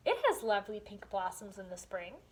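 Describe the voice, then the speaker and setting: A woman's voice: mid-range voice, expressive, brisk, lightly acted. A woman reading the part of a polite but dismissive lady shopkeeper in a storybook, explaining firmly. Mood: polite, apologetic.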